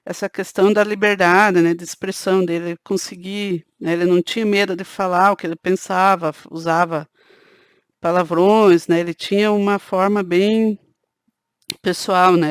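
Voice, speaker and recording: A woman's voice: fairly low pitch, fairly even intonation, normal speed, clear, resonant tone, dry recording. A woman with a southern Brazilian countryside accent, hosting her channel and talking casually to camera in a chatty way, often adding "né" to her sentences. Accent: Brazilian Portuguese, southern countryside (caipira). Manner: conversational, peppers sentences with "né". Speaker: channel host.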